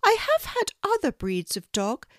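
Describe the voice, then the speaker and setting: A woman voicing a gracious, kindly elderly queen with a gentle, genteel manner in a storybook reading. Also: sits high, wildly swooping pitch, measured pace, no echo, studio quality, pitched above the performer's own voice, heavily acted.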